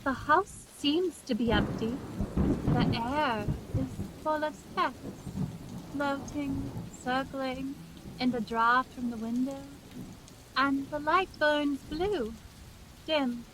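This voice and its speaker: A woman playing a frightened, fragile young gentlewoman in love, with slow, tremulous delivery in a radio play. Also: high-pitched, background noise. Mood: dreading, dazed. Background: music, rain and thunder.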